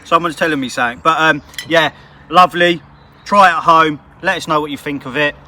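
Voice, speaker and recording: A man's voice: fairly high pitch, a little fast, sharp tone, a little hiss or hum. A man co-hosting an outdoor cooking vlog in the woods, giving dry, understated replies. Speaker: co-host. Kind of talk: casual banter.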